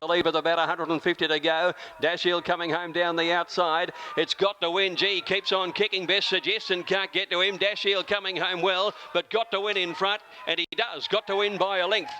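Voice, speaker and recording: A man's voice: high-pitched, level tone, a little fast, few pauses, bright, forceful tone, a little hiss or hum. A man with an Australian accent calling a horse race live.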